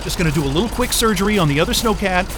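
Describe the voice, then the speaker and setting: A high-pitched, frantic, foul-mouthed fugitive. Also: male voice, animated, rapid-fire, background noise. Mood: hurried, determined. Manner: profane, urgent, exclaiming. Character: frantic foul-mouthed man fleeing in a snowcat. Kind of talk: audio drama acting.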